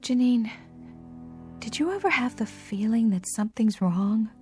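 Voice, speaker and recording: Frazzled, high-voiced exhausted club dancer. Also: female voice, animated, a little slow, mellow, faint music behind. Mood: drained, troubled. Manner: fretful, protesting. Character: exhausted, anxious female club dancer, headliner. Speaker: actress. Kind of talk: radio drama acting.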